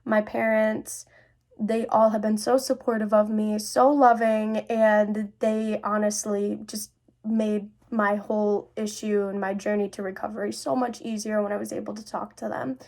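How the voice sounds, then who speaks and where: A woman's voice: medium pitch, level tone, normal speed, a little mellow. A young adult woman speaking earnestly and confidingly, as a host sharing personal experiences. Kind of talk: personal talk to camera about mental health.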